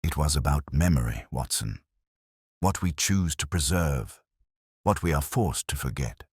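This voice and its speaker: A man plays a cool, philosophical Victorian detective in an audiobook. His voice is very deep, with dramatic rises and falls, and he speaks formally and deliberately. The recording is dry. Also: animated, darkish tone, breathy edge, no room sound, exaggerated voice.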